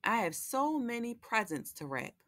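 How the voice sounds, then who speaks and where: A woman's voice: a little high, very animated, normal speed, a bit bright, no echo, clean studio sound, pitched above the performer's own voice. A woman voicing a busy, overwhelmed animal mother in a storybook, harried and sighing.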